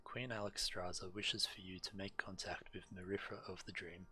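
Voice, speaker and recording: A man's voice: deep voice, flat delivery, moderate pace, bright, forceful tone. A man streaming a game and reading quest text aloud. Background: game music.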